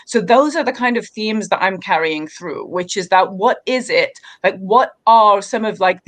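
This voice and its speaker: A woman from Egypt talks thoughtfully about her writing in a livestream chat. Her voice sits at an ordinary middle pitch, and she keeps slipping in "you know" as she thinks aloud. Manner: reflective, many fillers like "you know". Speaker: author guest. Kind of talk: livestream conversation.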